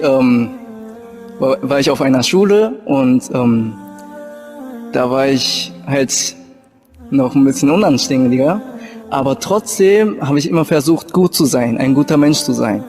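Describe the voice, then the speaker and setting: A man's voice: mid-range voice, darkish tone. A young man from Japan who later lived in Germany, giving an informal personal testimony and often searching for words. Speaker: convert telling his story.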